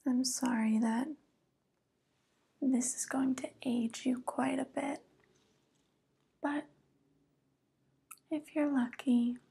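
A woman acts out an evil witch who is centuries-cold yet young-sounding, in a very slow, extremely breathy whisper. Her delivery is condescending and mock-pitying, hushed and even, close to the microphone as in an ASMR roleplay. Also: sits high, heavily acted. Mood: feigned regret. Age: young adult. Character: evil 142-year-old witch who looks young, coolly predatory. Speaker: ASMR performer.

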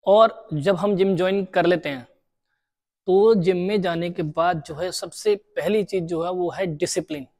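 A man's voice: sits high, moderate pace, a little mellow. A young man giving gym tips to the camera, repeating key points for beginners, recorded in a large indoor gym. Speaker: gym trainer.

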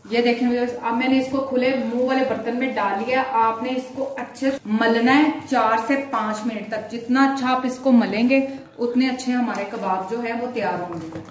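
A woman's voice: on the high side, fairly even intonation, moderate pace. Instructive woman cooking host, echoey room. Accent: Pakistani Urdu. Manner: addresses viewers directly, instructive. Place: home kitchen. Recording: echoey room, distant mic, faint noise.

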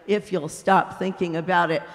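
A woman voicing a serene, gentle man's spirit who answers calmly and slowly. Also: on the low side, voice pushed down, lightly acted. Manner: calm, gentle, unhurried. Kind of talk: audiobook character voice. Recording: long hall reverb.

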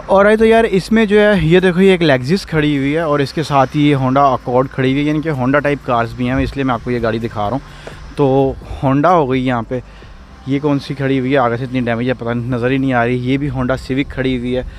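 एक पाकिस्तानी उर्दू लहजे वाला आदमी, खुले कार नीलामी यार्ड में हाथ के फ़ोन कैमरे से व्लॉग बनाते हुए, बातूनी और उत्साही अंदाज़ में।. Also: male voice, mid-range voice, slight background noise. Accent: Pakistani Urdu. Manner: chatty and excitable, exclaiming over cars. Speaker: vlogger touring a car auction yard. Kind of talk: casual walk-and-talk vlog. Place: outdoor car auction lot. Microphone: handheld phone camera.

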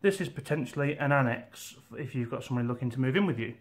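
A man in his forties, an estate agent, walking through a house and pointing out rooms in a steady, informative way. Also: medium pitch, quick pace. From England. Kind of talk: property walkthrough tour.

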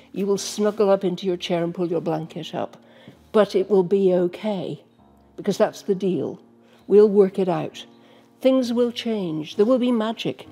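A woman's voice: medium pitch, mellow. An older woman, a writer, talking in a conversational storytelling way in a panel discussion. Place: book-lined library room, seated. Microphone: microphone on a stand.

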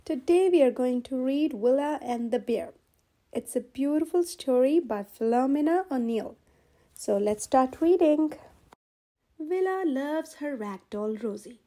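A woman reading a children's picture book aloud in an expressive, gentle storytelling manner. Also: high voice, unhurried. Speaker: storyteller, channel host.

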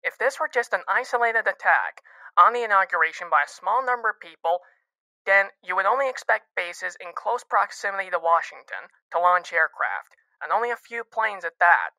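A woman voicing a male Air Force officer who calls in to a radio station, speaking in a measured, analytical way. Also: mid-range voice, lively intonation, pushed and bright, dry recording, poor audio. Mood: concerned. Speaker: expert caller.

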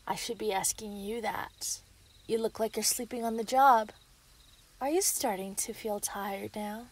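Woman playing a slow, menacing female werewolf villain. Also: medium pitch, fairly even intonation. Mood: taunting. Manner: taunting, breathy, often whispering, chuckling. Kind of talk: hobby ASMR roleplay audio drama.

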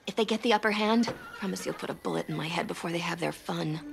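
A woman's voice: lowish voice, fast talker, gravelly, heavy background noise. An actress playing a plucky young saloon woman in a western video game. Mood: grim, determined. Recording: loud music over dialogue.

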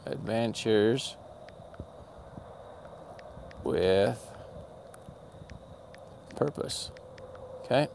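A gravelly-voiced man in his forties, chatty and upbeat, hosts a casual livestream. He talks into a phone held at arm's length outdoors at night, and faint background noise runs under his voice. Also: lowish voice, drawn-out words, pauses often, darkish tone. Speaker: channel host doing a livestream. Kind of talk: casual livestream chat with viewers. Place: outdoor parking lot at night.

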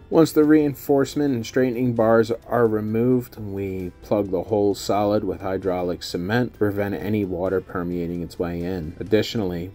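A man's voice: low-pitched, dark tone. A man giving scripted instructional narration as a contractor, in a matter-of-fact, technical way. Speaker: contractor narrating company's repair work.

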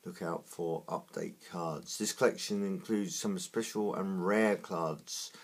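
A man with a croaky morning voice, talking through opening trading card packs in a slow, halting way with many fillers. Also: low voice. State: croaky, just woken up. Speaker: trading card unboxing host. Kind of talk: casual unboxing commentary.

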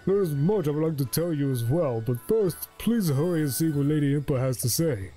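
Give this fantasy village guard, played by a man, a very dark, soft-edged voice. He is solemn and courteous, speaking formally and with measure. Faint music plays underneath. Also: a little high, expressive, fairly quick, lightly acted. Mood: solemn, polite.

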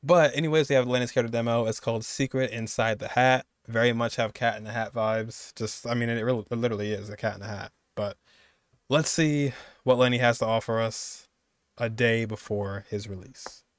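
A man's voice: medium pitch, fairly even intonation, fairly quick, no room sound, clean studio sound. A man in his twenties giving loose, conversational, slangy reaction commentary as a video host.

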